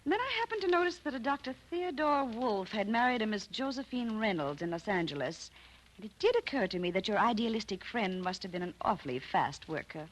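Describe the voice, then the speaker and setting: An actress playing a scornful, haughty estranged wife in a radio drama, speaking with sarcastic mock politeness. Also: female voice, medium pitch, sing-song, a little fast, rough recording. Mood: icy sarcasm. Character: scornful, poised estranged wife confronting her husband. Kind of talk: old-time radio drama acting. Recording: vintage radio recording, light hiss.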